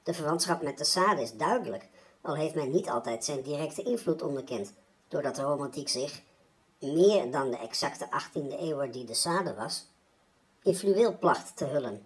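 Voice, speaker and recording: A woman's voice: low-pitched, animated, moderate pace, rough recording. A woman playing a learned, formal panelist in a sixties literary radio discussion. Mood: scholarly. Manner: formal, scholarly.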